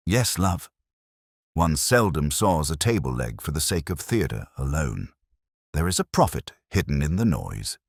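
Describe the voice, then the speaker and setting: A man voicing a calm, shrewd police inspector in a mystery story, speaking deliberately and with understatement. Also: extremely low pitch, vocal fry, dry recording, clean studio sound, full character voice. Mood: calm, cryptic.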